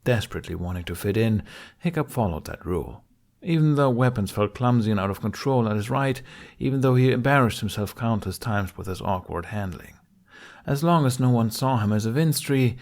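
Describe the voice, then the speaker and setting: A man's voice: fairly low pitch, vocal fry, darkish tone. A man reading a fantasy adventure story aloud as a scripted audiobook narration.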